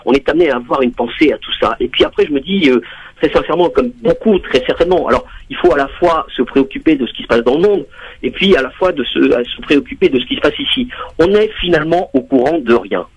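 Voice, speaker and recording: A man calling in to a radio show on a muffled phone line, talking in halting restarts as he airs a grievance. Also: a little high, expressive, husky. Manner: hesitant, restarts often, then rants. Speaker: radio caller. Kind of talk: call-in radio talk.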